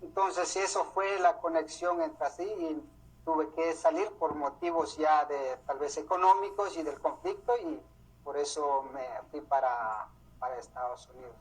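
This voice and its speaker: Man, middle-aged, Mam speaker, husky, halting with many fillers, radio recording. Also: on the high side, animated. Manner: hesitant, many fillers and restarts. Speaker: author presenting his book. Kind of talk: unscripted talk. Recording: muffled radio broadcast sound.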